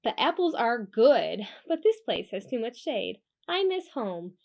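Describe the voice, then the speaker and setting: A woman voicing a homesick, picky fox in a children's storybook, expressive and plaintive. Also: a little high, unhurried, full character voice.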